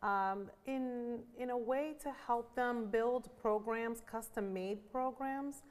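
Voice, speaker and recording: A woman's voice: on the high side, slow pace. A woman in her thirties from the Dominican Republic, a life coach answering interview questions with enthusiasm and reflection, with some "um" and "like" along the way. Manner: enthusiastic, reflective, frequent fillers.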